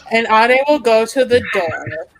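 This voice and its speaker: A woman's voice: a little high, animated, unhurried, faint music behind, poor audio. A woman improvising casual narration as a tabletop roleplay player, thinking aloud hesitantly.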